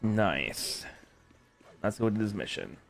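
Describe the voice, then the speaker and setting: A man's voice: fairly low pitch, very animated, slow, creaky, faint music behind. A young man giving casual, slangy, rambling commentary as he streams a game.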